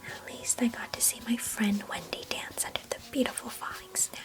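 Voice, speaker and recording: A woman playing a chatty, affectionate, nostalgic cousin in an ASMR roleplay, whispering gently in an unhurried, breathy way. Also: a little high, expressive, lightly acted. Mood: wistful, chatty. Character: chatty, affectionate, nostalgic female cousin, former ballet student.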